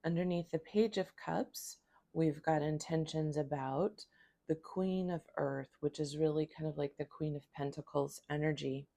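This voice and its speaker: A woman with a hoarse voice from a cold, giving a calm, flowing spiritual tarot reading. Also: low voice, unhurried. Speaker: tarot reader.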